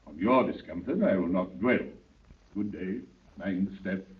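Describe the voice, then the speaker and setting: Actor as an imperious anarchist boss, muffled old radio. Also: male voice, deep voice, moderate pace, distant mic, natural voice. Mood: mock courteous. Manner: pompous, mock-courteous, biting sarcasm. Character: imperious, scornful, booming president of an anarchist council. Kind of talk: 1930s radio acting. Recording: muffled, phone-like old 1930s radio recording.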